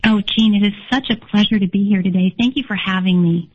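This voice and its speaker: Hoarse raspy lady, german first language, earnest guest talking thru restarts, sounds like a phone line. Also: mid-range voice, brisk. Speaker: interview guest. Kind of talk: personal storytelling in an interview.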